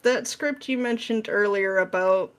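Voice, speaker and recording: A woman's voice: medium pitch, measured pace. Playful woman chatting as interview guest. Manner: playful, acts out imagined quotes. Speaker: podcast guest, script writer. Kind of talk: casual chat.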